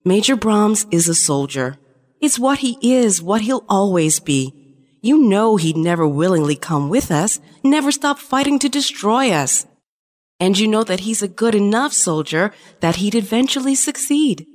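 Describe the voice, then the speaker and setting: A woman's voice: medium pitch, lively intonation, normal speed, a little mellow, natural voice. A woman playing a shapeshifting alien spy in an audio drama, a conflicted lover pleading her case earnestly. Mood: regretful, firm. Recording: long reverberant hall sound.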